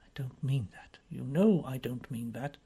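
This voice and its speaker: A woman's voice: very deep voice, a little slow, mellow, breathy edge, voice pushed down, heavily acted. A woman voicing an earnest male police officer who pleads in an urgent, imploring way.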